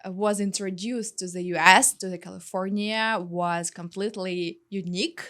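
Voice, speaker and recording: Woman in her 30s, chatty guest, mid-pitch voice, lots of "like". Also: fairly even intonation, a bit bright. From Ukraine. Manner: chatty, quick with fillers like "like" and "you know". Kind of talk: interview. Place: studio.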